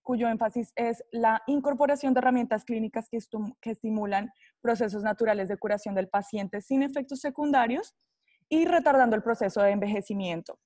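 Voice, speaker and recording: Woman podcast host, enthusiastic, fairly high voice, dry close sound. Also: brisk, a little mellow, no echo. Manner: enthusiastic, reads guest bio. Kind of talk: podcast introduction and interviewing.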